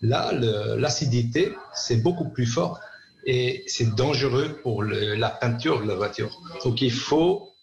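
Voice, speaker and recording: A man from Belgium with a slight Belgian accent, a radio guest answering in a hesitant, halting way over a remote line. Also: mid-range voice, gravelly. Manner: hesitant, halting, many pauses. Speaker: guest, company sales director. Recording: dull roomy remote line.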